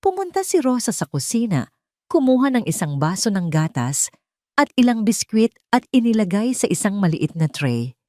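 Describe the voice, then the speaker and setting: A woman's voice: medium pitch, very animated, normal speed, warm tone, dry recording, clean studio sound. A Filipino woman reading a scripted dramatic story as its narrator.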